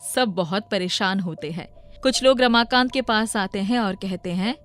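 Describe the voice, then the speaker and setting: A woman's voice: medium pitch, moderate pace. A woman reading scripted moral-story narration as the storyteller. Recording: music behind the voice.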